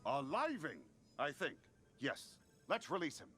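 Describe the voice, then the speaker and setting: Bright, curious, naive otherworldly being. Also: male voice, a little high, big pitch swings, measured pace, faint music behind, exaggerated voice. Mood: earnest. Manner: quaint, formal, wondering phrasing. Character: naive, curious, philosophical newly-sentient being. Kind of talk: video game character acting.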